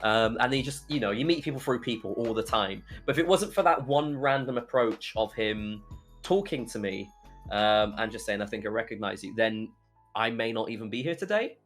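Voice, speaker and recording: A man's voice: fairly low pitch, expressive, a little fast, fairly bright tone, faint music behind. A young British man telling stories casually as a podcast guest, chatty and rambling as he goes. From United Kingdom. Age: young adult. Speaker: podcast guest, YouTuber and streamer.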